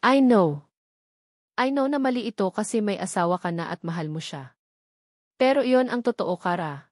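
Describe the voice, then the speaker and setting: A woman's voice: mid-range voice, expressive, moderate pace, no room sound, studio quality, lightly acted. Woman voicing an earnest, lovelorn Filipino young man. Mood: earnest pleading. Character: lovelorn, protective young man pleading devotedly. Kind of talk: romance audiobook dialogue.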